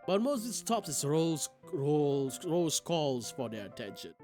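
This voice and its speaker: A high-voiced man reads game text like a stage narrator, swinging expressively through his lines. He is excitable and theatrical, and game music plays faintly behind him. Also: a little slow. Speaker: game streamer. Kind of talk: livestream playthrough, dramatic read-aloud of visual novel narration.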